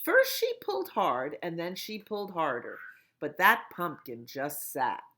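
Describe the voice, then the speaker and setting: Slow, lively storytelling woman. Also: lowish voice. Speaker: children's librarian. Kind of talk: storytime read-aloud.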